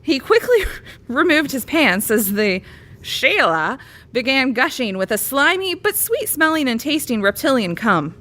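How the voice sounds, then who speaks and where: A woman's voice: sits high, sing-song, measured pace, pushed and bright. A woman reading a bawdy comic parody story aloud as a storyteller. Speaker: comedy storyteller.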